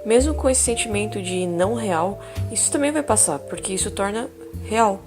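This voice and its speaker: A woman playing a calm, wry therapist. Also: medium pitch, normal speed, soft background music, lightly acted. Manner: calm, gently joking. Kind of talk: audio drama acting.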